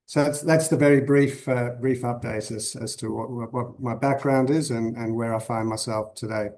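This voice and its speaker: A man in his fifties from Zimbabwe, a guest on a podcast interview, answering thoughtfully with many pauses and restarts. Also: mid-range voice, steady tone, a little mellow. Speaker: guest conservationist.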